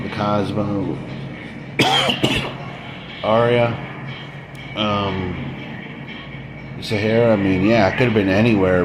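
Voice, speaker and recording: A man chats with his viewers on a late-night live stream, his voice worn and hoarse from a dry throat. He talks slowly in a lowish register, rambling and repeating himself with chuckles. Clear background noise runs under the recording.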